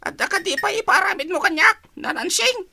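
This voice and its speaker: A woman's voice: very high voice, animated, brisk, vocal fry, pushed and bright, no room sound, full character voice. A woman playing a whiny, deferential young household helper in a comedy radio drama, with exaggerated pleading delivery. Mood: eager, obedient.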